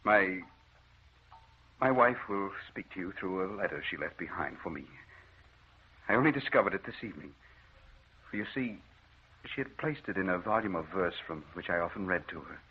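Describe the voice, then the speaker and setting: An actor playing a grave doctor in an old radio drama, speaking in a formal, measured way. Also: male voice, sits low, expressive, breathy edge. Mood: solemn, formal. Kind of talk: 1940s radio drama acting. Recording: muffled, hissy old radio recording.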